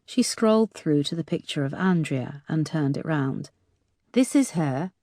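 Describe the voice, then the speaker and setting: Woman reading a crime novel, lowish voice, dry close mic. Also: expressive, normal speed, a little mellow, no echo. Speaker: audiobook narrator. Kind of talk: scripted narration.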